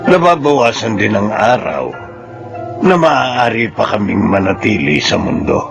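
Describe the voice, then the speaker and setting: A man's voice: on the low side, big pitch swings, measured pace, vocal fry, over music, heavily acted. An actor with a Filipino accent playing an anxious, reflective man of sixty in a radio drama. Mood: somber, reflective. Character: anxious sixty-year-old village man, reflective and sociable.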